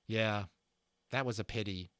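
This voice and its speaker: A man voicing an earnest, gentle young boy in a storybook reading, with plain, sincere, conversational phrasing. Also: fairly low pitch, unhurried, clean tone, dry recording, clean studio sound. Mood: sympathetic. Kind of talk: dramatic storybook character reading.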